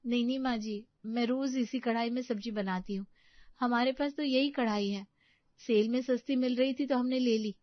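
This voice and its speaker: A meek young daughter-in-law, polite and eager to please, speaking in a high, light voice, as a woman puts her on. Dull, lo-fi and dry recording. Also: fairly even intonation, moderate pace, no room sound, close to an everyday voice.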